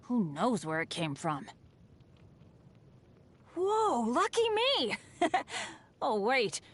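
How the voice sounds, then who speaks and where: An eager teenage boy adventurer from a video game, with a high, boyish voice. He talks casually and earnestly, swinging freely up and down in pitch, humble and cheerful about his rotten luck. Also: huge pitch swings, drawn-out words, slightly rough, full character voice. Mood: chatty, enthusiastic, then excited, upbeat. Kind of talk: video game character voice lines.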